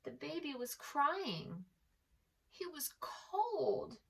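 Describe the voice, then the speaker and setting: A woman storyteller reading aloud to preschoolers in an expressive way, pausing to address the young listener directly. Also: sits high, creaky, recorded from a distance. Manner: slow, animated, addresses the young listener with questions. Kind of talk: expressive children's read-aloud.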